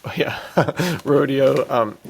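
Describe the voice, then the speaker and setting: A man's voice: mid-range voice, slow, creaky, slightly rough. A man co-hosting a podcast, chatting casually and informally about movies. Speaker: podcast co-host.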